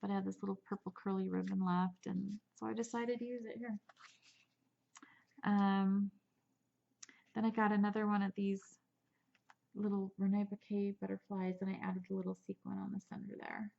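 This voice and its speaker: A woman rambles cheerfully through her handmade album, restarting sentences and slipping in small laughs. Her voice has a gravelly edge and stays on a fairly flat, even line. She is a bit sniffly from allergies, and the recording sounds dull and muffled. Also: medium pitch, moderate pace, long pauses. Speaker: crafter showing a handmade album. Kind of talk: casual craft show-and-tell.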